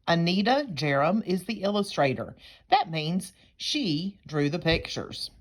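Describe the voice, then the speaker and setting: A woman teacher reading a picture book aloud to young children, explaining things in simple terms. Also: low voice.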